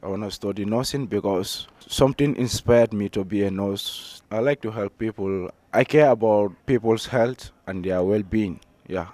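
A man's voice: fairly low pitch, normal speed, few pauses, warm tone, dry recording. An eighteen-year-old man from Gambia with a Gambian accent, answering informally as a student in an interview. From Gambia, West Africa. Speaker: high school student being interviewed.

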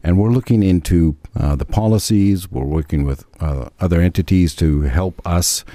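Low, mellow-voiced male commissioner. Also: dry recording, studio quality. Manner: measured, halting. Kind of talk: radio interview guest.

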